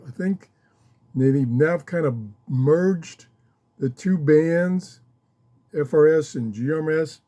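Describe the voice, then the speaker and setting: Unhurried, mellow man explaining casually. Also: on the high side. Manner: halting, in short phrases with pauses. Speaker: radio hobbyist host. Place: small home office.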